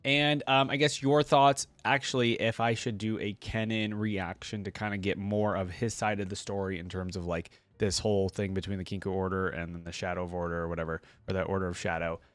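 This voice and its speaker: A man's voice: deep voice, fairly even intonation, a little fast, hardly any pauses, clean tone, no room sound. A man giving casual, informal commentary to viewers as a reaction host, rambling and thinking aloud. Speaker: gaming reaction YouTuber, host.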